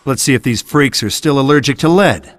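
An actor playing a cocky, sarcastic action hero in a video game cutscene, brash and swaggering. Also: male voice, mid-range voice, fairly quick, no echo, lightly acted. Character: cocky, wisecracking one-handed male action hero.

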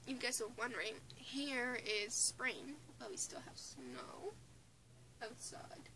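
A woman's voice: on the high side, takes it slowly, noisy background. An eighteen-year-old woman with a Newfoundland accent, chatting casually into a camera in her bedroom, rambling with restarts and giggles. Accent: Newfoundland English. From Newfoundland, Canada. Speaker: vlogger. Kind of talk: casual opinion vlog. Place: small bedroom under a bunk bed, indoors. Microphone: phone or webcam close in front.

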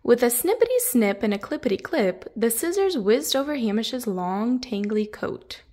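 A woman's voice: fairly high pitch, sing-song, moderate pace, dark tone. A woman reading a children's picture book aloud, expressively, drawing out the descriptive words for emphasis. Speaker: children's story narrator.

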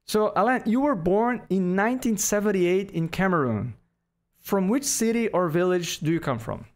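A man in his forties hosting an interview podcast, formally reading a guest introduction into a boom-arm microphone. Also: moderate pace. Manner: formal, reads bio at a steady clip. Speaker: podcast host. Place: small home office. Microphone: studio microphone on boom arm.

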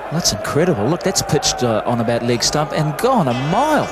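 A man's voice: a little high, big pitch swings, brisk. A man giving live analysis as a television cricket commentator. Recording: noisy archive broadcast, loud crowd. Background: loud stadium crowd noise.

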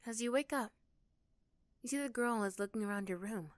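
A woman's voice: fairly high pitch, a little fast, no room sound. A woman reading scripted second-person story narration for an ASMR roleplay.

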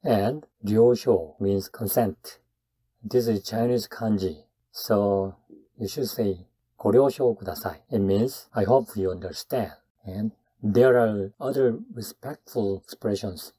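A man's voice: lowish voice, slow pace, mellow, slightly rough. A man teaching grammar in a scripted lesson, explaining step by step in short phrases. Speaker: Japanese language teacher.

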